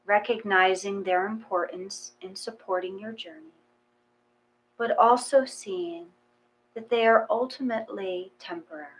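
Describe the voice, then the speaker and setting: Slow-paced woman guiding a meditation. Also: medium pitch, rough recording. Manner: calm, measured, with long pauses between short phrases. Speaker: guided meditation reader. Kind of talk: gentle guided meditation, read from a text.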